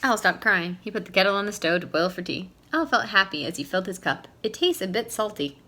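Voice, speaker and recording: A woman reading a storybook aloud to young children, expressive and playful, in a teacherly way. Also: medium pitch, quick pace. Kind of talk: read-aloud storybook narration with questions to young listeners.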